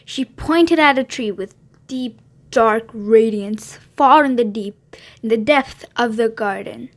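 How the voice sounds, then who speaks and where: A woman with a high voice reads a Bible story aloud for children. She reads gently and sometimes stumbles and restarts a word. Her tone suits a children's story time. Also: slow pace.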